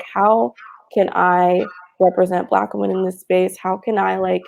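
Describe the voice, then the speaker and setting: Creaky, mellow-voiced young woman. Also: medium pitch. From Houston, Texas. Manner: chatty, frequent fillers. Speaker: cannabis marketing panelist. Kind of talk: casual panel chat.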